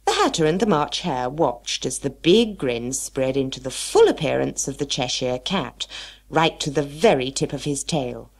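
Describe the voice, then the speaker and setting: A woman reads a children's story expressively. Her voice is low, with a creaky grain and very animated intonation. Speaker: story narrator.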